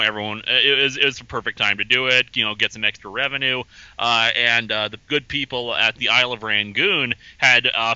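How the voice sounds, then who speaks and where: Bright, crisp-voiced man guesting on a podcast. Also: medium pitch, no room sound. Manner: rambling, chuckles between thoughts. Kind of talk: casual podcast chat. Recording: dull lo-fi podcast audio.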